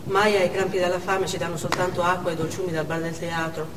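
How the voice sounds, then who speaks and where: A woman's voice: lowish voice, little pitch movement, fast talker, distant mic, close to an everyday voice. Scared indignant mother held hostage played by a stage actress, dramatic monologue, big echoey hall, noisy recording. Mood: weary, stunned. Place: theatre stage.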